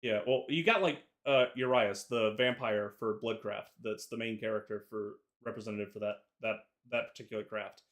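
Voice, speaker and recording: A man's voice: lowish voice, steady tone, normal speed, few pauses, fairly bright tone. Opinionated man from the South. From Southern United States. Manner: opinionated, rambling with fillers. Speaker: podcast co-host. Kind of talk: casual chat.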